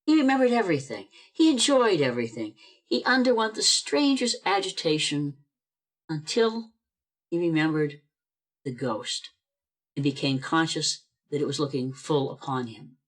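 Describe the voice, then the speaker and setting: A man's voice: on the high side, normal speed. Man narrating a radio play. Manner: expressive storytelling. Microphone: video call.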